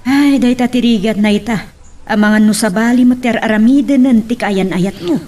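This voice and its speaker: A woman playing an advising older auntie in an audio drama, with an Ilocano accent and an expressive delivery. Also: a little high, moderate pace, natural voice. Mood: cautioning. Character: older auntie, a seasoned, advising overseas worker. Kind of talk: Ilocano radio-style drama acting.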